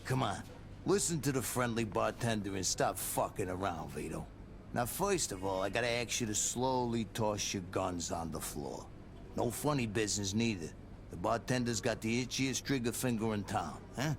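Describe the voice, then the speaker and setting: A man's voice: lowish voice, moderate pace, gravelly, soft background music. An actor playing a cocky, mocking gunman threatening someone in a video game. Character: cocky male mob gunman, sneering.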